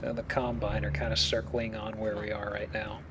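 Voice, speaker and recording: Noticeable noise sits behind a man with a lowish voice. He comments casually on a game he is playing, with a wry, joking manner. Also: level tone, brisk. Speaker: let's play commentator. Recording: clear background noise under game audio.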